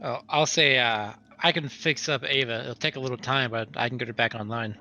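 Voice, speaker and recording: Bright mid-pitched male tabletop player. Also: steady tone, brisk, muffled recording, slight background noise. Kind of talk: casual game-table chat.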